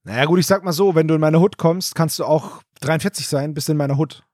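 A man's voice: on the high side, quick pace, darkish tone, no echo, clean studio sound. A man co-hosting a podcast, chatting casually and animatedly about an audio drama. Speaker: podcast host.

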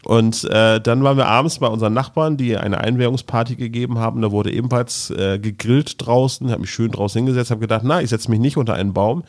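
A man's voice: mid-range voice, fast talker, hardly any pauses. A man, a podcast co-host, chatting casually and telling anecdotes in an easygoing way. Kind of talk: casual chat and storytelling.

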